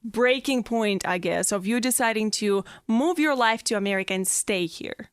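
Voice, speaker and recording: A woman's voice: mid-range voice, normal speed, clean tone, no room sound, clean studio sound. A young woman hosting a podcast interview, encouraging her guest with frequent mm-hmms and exclaimed wows. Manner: encouraging, frequent mm-hmm and wow. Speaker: podcast host. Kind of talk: casual interview.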